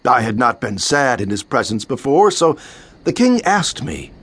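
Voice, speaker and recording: A man's voice: medium pitch, sing-song, normal speed, fairly bright tone, slightly rough. A man playing Nehemiah, narrating in the first person with earnest, declamatory delivery in a dramatized Bible.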